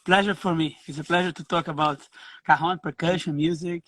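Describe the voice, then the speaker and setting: A middle-aged man from Brazil, a guest musician telling his story in a casual interview over a video call, halting and restarting his phrases. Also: fairly high pitch, fairly even intonation, fairly bright tone, no room sound.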